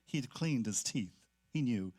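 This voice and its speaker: A man's voice: medium pitch, animated, measured pace, darkish tone, no echo, clean studio sound. A man reading scripted literary narration as part of a staged reading.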